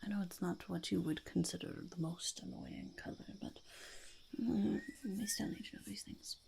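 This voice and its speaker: A woman's voice: on the low side, steady tone, dark tone. Girl doing a quirky goblin whispering asmr interview questions, super crackly, mutters and repeats stuff. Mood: matter-of-fact, sly. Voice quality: breathy whisper with heavy creak. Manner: halting, muttering, repeats phrases, speaks of self in third person. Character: quirky, scheming goblin interviewer seeking a sidekick.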